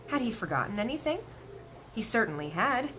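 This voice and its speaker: Female story reader: expressive, animated intonation, children's read-aloud style, faint background noise. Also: on the high side, fairly quick.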